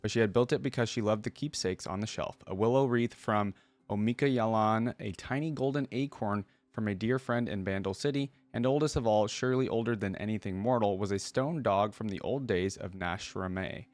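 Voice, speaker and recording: A man hosting a reaction video, reading lore aloud and adding casual, rambling commentary as he thinks out loud. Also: fairly low pitch, fairly quick, dry recording.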